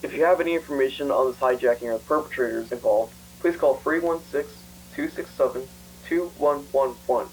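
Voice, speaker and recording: A calm emergency alert official, acted by a man, speaking at a medium pitch. The reading is formal and matter-of-fact, like a scripted public notice, with faint hum behind it. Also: fairly quick, few pauses, natural voice, low-quality recording. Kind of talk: scripted official broadcast message.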